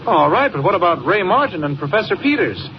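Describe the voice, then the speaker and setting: A man's voice: high voice, big pitch swings, fairly quick. An actor playing an eager, inquisitive male newspaper reporter in 1940s radio style. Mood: curious, probing. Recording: muffled, very noisy 1940 radio recording.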